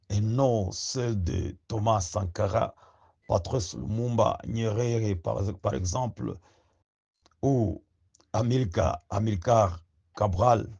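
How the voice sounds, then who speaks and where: Slow husky man giving a rousing address. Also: on the low side, a little mellow, muffled recording. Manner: slow, rousing, rhetorical questions. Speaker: activist speaker. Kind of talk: impassioned spoken address.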